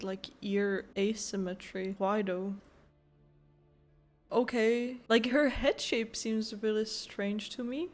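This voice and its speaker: Young woman giving casual gaming commentary. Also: mid-range voice. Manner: rambling, informal, giggly. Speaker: gaming video creator.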